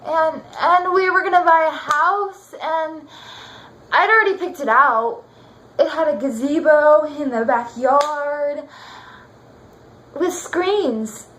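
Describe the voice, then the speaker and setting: Woman acting a high-voiced, wounded jilted bride-to-be. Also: a bit bright, a little hiss or hum. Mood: wistful. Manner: halting, dramatic pauses, repeating words for weight. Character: jilted young bride-to-be, wistful, wounded and bitter. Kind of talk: amateur dramatic stage monologue.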